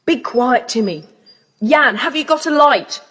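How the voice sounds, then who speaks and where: A woman's voice: high voice, animated, unhurried, a bit bright, exaggerated voice. A woman playing a resolute, take-charge schoolboy in a children's audio adventure play. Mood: urgent, commanding. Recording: long hall-like reverb.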